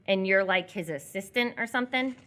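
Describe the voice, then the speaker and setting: A woman's voice: medium pitch, fairly quick, pushed and bright, lightly acted. A woman playing a blunt, teasing young eatery worker in live radio theatre, with a casual, offhand way of talking. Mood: teasing, curious. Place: live stage performance before an audience.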